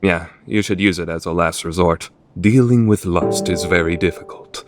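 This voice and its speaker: Exasperated anime schoolboy played by a man, low voice, dry explanatory delivery, music playing behind. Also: normal speed, close to an everyday voice. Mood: persuasive. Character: deadpan, exasperated high school boy. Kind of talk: anime fan dub radio play acting.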